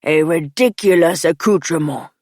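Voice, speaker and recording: A towering bear-like cook with a short temper snaps and grumbles in deadpan bursts, as a woman narrator voices it. The voice drops low and comes out loud with a strange, altered timbre. The recording is dry and close. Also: normal speed, no room sound. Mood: irritated.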